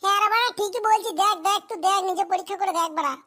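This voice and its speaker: A performer voicing a hapless, foul-mouthed young cartoon groom in coarse slang, squeaky and childlike. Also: rapid-fire, sharp tone. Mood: panicky, exasperated. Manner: coarse, grumbling, rural slang. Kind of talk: amateur comedy cartoon voice acting.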